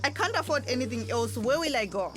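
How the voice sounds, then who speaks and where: A woman's voice: on the high side, animated, brisk, soft background music, natural voice. A young woman with a Zimbabwean accent playing a distressed, respectful tenant pleading in a radio drama. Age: young adult.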